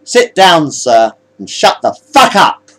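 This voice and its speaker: A man's voice: on the high side, very animated, brisk, slightly rough, voice pushed up. A man voicing a firm, defiant blue-skinned alien first officer giving clipped commands in a space story. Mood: forceful, threatening.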